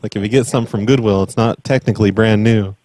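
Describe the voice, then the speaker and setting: A man making a prank phone call as an earnest caller, talking in a hesitant, rambling, deadpan way. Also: fairly low pitch, quick pace, no room sound. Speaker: prank caller and podcast host.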